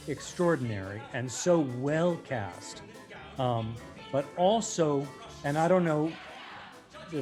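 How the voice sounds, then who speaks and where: Casting: articulate man, slightly high voice, emphatic, reflective interview talk with music behind. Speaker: stage actor, guest.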